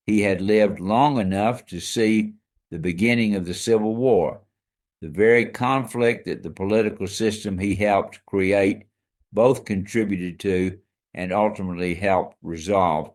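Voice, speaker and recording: A man with a fairly low voice co-hosts a scripted history podcast. He explains each fact with emphasis and leans into the surprising details, as if letting the listener in on a secret. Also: a little slow. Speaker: co-host.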